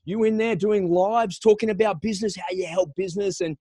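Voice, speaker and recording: A man's voice: high-pitched, a little fast, warm tone, husky, no room sound, clean studio sound. A man hosting a podcast, giving an enthusiastic, promotional introduction and interviewing a guest. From Australia. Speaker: podcast host.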